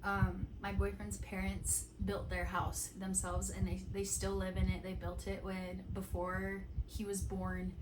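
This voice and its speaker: A woman in her late twenties talking softly and thoughtfully at home, often restarting her sentences. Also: medium pitch, completely flat delivery, a little slow, hardly any pauses, noisy background. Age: 20s. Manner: soft-spoken, thoughtful, with many restarts and "like". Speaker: vlogger. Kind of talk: casual reflective chat to camera.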